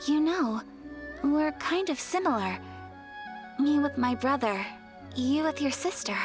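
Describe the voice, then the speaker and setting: A woman playing a gentle, refined young noblewoman in a video game, speaking softly with earnest, poised affection. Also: high-pitched, lively intonation, unhurried, music in the background. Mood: earnest, tender. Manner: soft, poised, heartfelt delivery. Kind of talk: video game voice acting, sincere and tender.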